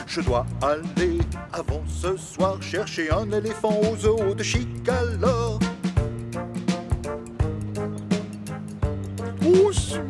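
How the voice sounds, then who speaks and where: Forgetful cheery cartoon diesel engine; high, lively, unhurried chuckler. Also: male voice, full character voice. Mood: confused. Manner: chuckling, scatterbrained, sing-song. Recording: cartoon soundtrack with music behind.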